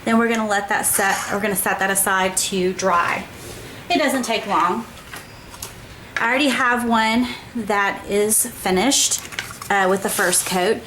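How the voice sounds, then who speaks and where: A woman hosts her own home craft tutorial in an easygoing, conversational way. Her voice sits at a middle pitch, and she explains each step as she works, often pausing for a quick "okay?". A faint room noise hums behind her. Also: steady tone. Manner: chatty, step-by-step, frequent "okay?" check-ins. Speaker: craft show host.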